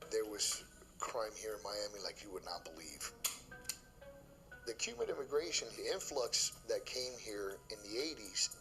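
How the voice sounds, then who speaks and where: Lowish-voiced former cop, emphatic. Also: male voice, animated, moderate pace, low-quality recording. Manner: emphatic, matter-of-fact, asks and answers himself. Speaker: retired police officer interviewee. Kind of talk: documentary interview. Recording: interview with music bed behind.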